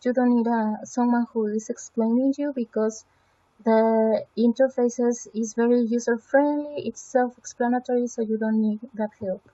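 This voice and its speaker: A fairly high-voiced woman whose first language is Spanish talks through an evaluation in a mellow, soft tone. She pauses often, fills gaps with "um" and "uh", and restarts her phrases. The recording sounds dull and lo-fi. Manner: hesitant, with frequent fillers and self-corrections. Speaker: student presenting a website evaluation. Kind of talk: semi-scripted spoken evaluation.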